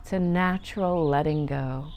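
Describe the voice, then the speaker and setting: A woman leading a guided meditation as a teacher, speaking gently and soothingly and lingering on her phrases. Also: deep voice, normal speed, slight background noise.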